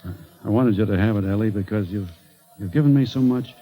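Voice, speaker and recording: An actor playing a shy, humble ballplayer who speaks haltingly to his wife, in 1940s radio acting style. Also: on the low side, dull audio, lightly acted. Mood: tender. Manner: halting, bashful.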